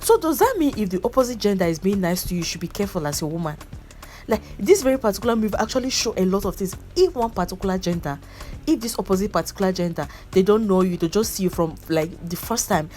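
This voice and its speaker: A woman's voice: mid-range voice, lively intonation, fast talker, a little mellow, faint music behind. A young woman chatting casually about a movie, repeating herself and breaking into laughs. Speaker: movie reviewer. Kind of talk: casual movie review chat.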